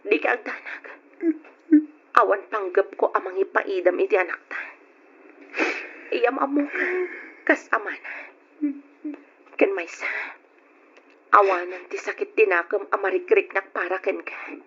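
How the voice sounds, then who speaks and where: A woman playing a young mother in a radio drama, dramatic in delivery, with a breathy voice. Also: medium pitch, measured pace, long pauses, a bit bright, exaggerated voice. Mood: sad, resolute. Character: hurt but forgiving young mother, firm and dignified. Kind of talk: old-style radio drama acting. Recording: dull lo-fi radio drama audio.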